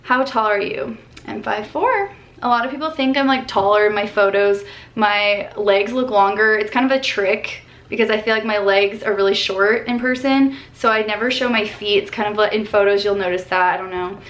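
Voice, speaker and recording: A young woman in her twenties from Colorado answers questions in a relaxed, upbeat vlog chat, sprinkling in "like" and "um". She sits in her bedroom, speaking to a camera at desk distance. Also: mid-range voice, moderate pace. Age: 20s. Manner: chatty, upbeat, lots of "like" and "um". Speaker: vlogger. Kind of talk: casual Q&A vlog.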